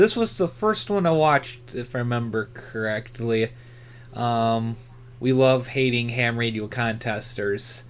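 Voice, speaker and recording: A man giving casual, unscripted commentary as a hobbyist channel host, rambling and haltingly correcting himself as he goes. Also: mid-range voice, clear, resonant tone.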